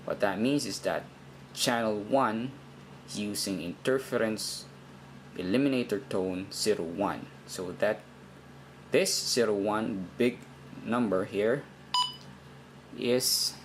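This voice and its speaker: Slow, low-pitched man explaining step by step. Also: a little hiss or hum. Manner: halting, deliberate, repeats himself. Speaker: instructor in a how-to video. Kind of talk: informal step-by-step tutorial.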